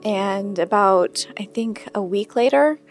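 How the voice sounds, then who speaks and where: A woman's voice: medium pitch, takes it slowly, music in the background. A woman giving a personal, spoken reminiscence as an interviewee. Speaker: interviewee remembering her late father.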